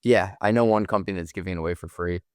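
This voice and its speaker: A man's voice: low voice, fast talker, clear, resonant tone, no echo, studio quality. A man co-hosting a business podcast, talking casually in informal, colloquial language. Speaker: podcast co-host.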